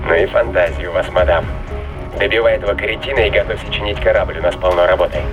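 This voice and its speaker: A man's voice: low voice, steady tone, a little fast, highs cut off, over music, close to an everyday voice. An actor playing a ruthless, sardonic man fighting aboard a spaceship in a sci-fi thriller audio drama. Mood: mocking, assured. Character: ruthless gloating male spaceship fighter, triumphant, mocking.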